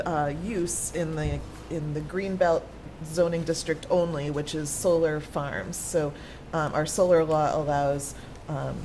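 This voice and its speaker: An American woman speaking as a board member at a town meeting, setting out official business. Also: deep voice. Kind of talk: reading a resolution and explaining it informally. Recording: noisy public meeting room ambience.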